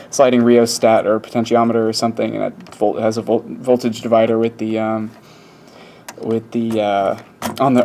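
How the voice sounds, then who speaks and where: A man's voice: medium pitch, darkish tone. A man giving a casual, unscripted walkthrough in an informal, hesitant way, as if thinking aloud. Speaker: hobbyist video presenter. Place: indoors at a workbench. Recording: light background noise with clicks.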